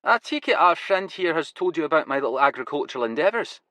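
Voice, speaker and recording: A wild-eyed Glasgow grower with a raspy, high-pitched voice, as a male narrator puts him on. He talks in an eager, proud rush that swings up and down. The storybook reading sounds dry and close. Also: sing-song, fast talker, sharp tone, no room sound. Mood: grim. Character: wiry older Glasgow man, excitable cannabis grower.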